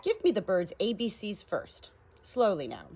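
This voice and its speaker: Woman as an earnest, animated gentleman doctor. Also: medium pitch, normal speed, slightly rough, exaggerated voice. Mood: curious, excited. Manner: earnest, eager. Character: mild, curious, earnest male country doctor.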